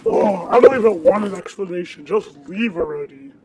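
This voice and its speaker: A man's voice: high-pitched, normal speed, a loud crowd, lightly acted. A young man playing a laid-back young drummer, Jeff. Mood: annoyed. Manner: casual, laid-back, says "dude".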